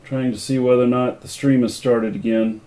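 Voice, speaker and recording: A man's voice: medium pitch, fairly even intonation, normal speed, mellow, rough recording. Informal male stream host, thinking aloud. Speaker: live stream show host. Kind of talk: casual live-stream interview and chat.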